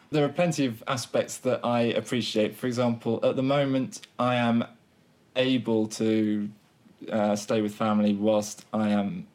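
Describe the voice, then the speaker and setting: A man's voice: lowish voice, a little mellow. A man with a Southern English accent co-hosting a podcast in casual chat, joking in a mock-pompous way and rambling with restarts. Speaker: podcast co-host.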